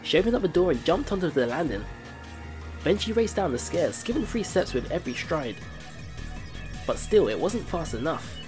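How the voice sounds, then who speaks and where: A man's voice: a little high, big pitch swings, moderate pace. A man reading a game's story text aloud for a playthrough, with small stumbles and restarts. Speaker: let's play narrator. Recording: game music playing behind.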